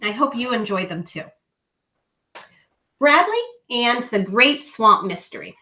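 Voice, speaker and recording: A woman reads a children's story aloud in a high, bright voice. She goes slowly and deliberately, leaning on key words for young listeners. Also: expressive.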